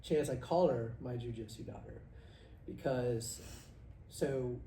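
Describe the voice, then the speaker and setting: A mid-forties man hosting a podcast in a rambling, jokey way. His voice is mellow and rounded, sitting at an average pitch. The sound is distant and echoey with a light hiss behind it. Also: takes it slowly, gravelly. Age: 40s. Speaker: podcast host. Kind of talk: casual podcast chat. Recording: echoey room, mic at a distance, faint noise.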